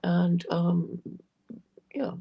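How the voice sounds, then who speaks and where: A woman's voice: on the low side, steady tone, extremely slow, vocal fry, breathy edge, muffled recording. A woman from England, a guest in a live online discussion, speaking hesitantly and repeating words.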